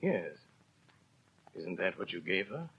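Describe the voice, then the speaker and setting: Courteous stranger, expressive voice. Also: male voice, deep voice, takes it slowly, husky, full character voice. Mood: calm, polite. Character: kindly, mysterious stranger, a gentlemanly traveller. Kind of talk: 1930s radio acting. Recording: muffled 1930s radio recording, faint music.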